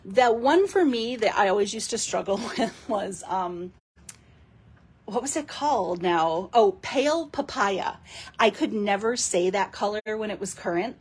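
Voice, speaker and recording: Chatty, giggly woman with a raspy voice. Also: mid-range voice, expressive, unhurried. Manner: chatty, giggly, rambling with fillers. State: hoarse. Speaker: card-making demonstrator. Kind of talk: casual live craft demo.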